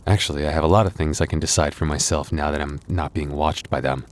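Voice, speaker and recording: A man playing a gentle, anxious young werewolf boyfriend in an intimate audio roleplay, speaking softly and haltingly. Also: a bass voice, steady tone. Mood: happy, bashful. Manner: intimate, hesitant, soft-hearted, halting.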